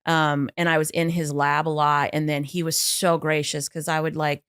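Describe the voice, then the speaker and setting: A woman vocal coach, a guest on a podcast, telling stories in a lively, chatty way with plenty of fillers. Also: sits low, normal speed, clear, resonant tone, no echo, clean studio sound. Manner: animated, chatty storytelling with many fillers. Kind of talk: casual podcast interview.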